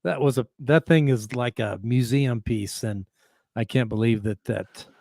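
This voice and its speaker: A man hosting a talk show, speaking in a friendly, halting way with many restarts. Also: mid-range voice, gravelly, darkish tone, no echo. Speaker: podcast host. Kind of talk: casual interview chat.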